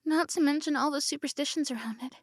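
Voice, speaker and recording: A woman's voice: sits high, fast, no room sound, studio quality. A woman doing a stammering tsundere princess. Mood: tender. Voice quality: stammering, flustered, shifts between soft whispers and indignant outbursts. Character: flustered tsundere tomboy princess, young woman. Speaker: ASMR roleplay performer. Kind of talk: ASMR roleplay acting.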